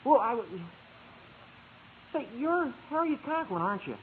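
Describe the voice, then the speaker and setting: A man's voice: extremely high pitch, big pitch swings, normal speed, a little hiss or hum. An actor voicing a gawky, eager teenage boy in a 1950s radio sitcom. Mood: bewildered, earnest. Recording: narrow, tinny old radio recording.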